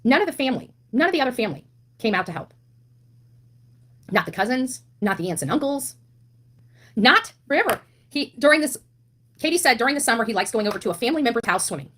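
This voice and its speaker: Indignant woman commentator with higher-pitched voice. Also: very animated, fast talker, pauses often, fairly bright tone. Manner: indignant, blunt, emphatic with rhetorical questions and repetition. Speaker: online commentator on a missing-child case. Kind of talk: impassioned monologue commentary.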